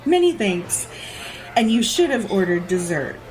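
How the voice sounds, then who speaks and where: A haughty, predatory society lady in a radio play. She drawls slowly and teasingly, her pitch swinging widely with theatrical relish. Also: very animated, darkish tone, rough recording. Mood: sly, pressing. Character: predatory, jaded society woman who borrows bodies. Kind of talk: audio drama acting.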